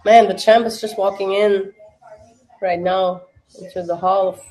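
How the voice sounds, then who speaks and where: A young woman whose native tongue is German talks in relaxed, rambling chat as she hosts a live watch party. Her English carries a clear German accent and she leans on fillers as she answers her viewers. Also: mid-range voice, measured pace, faint music behind, rough recording. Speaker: livestream watch-party host. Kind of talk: casual livestream chat.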